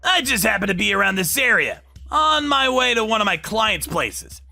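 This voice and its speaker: A man's voice: high-pitched, big pitch swings, normal speed, gravelly, pushed and bright, faint music behind, voice pushed up, full character voice. A man voicing an arrogant, whining younger brother, an overexcited salesman, in a dramatized story. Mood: mocking. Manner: sneering, overexcited, pleading.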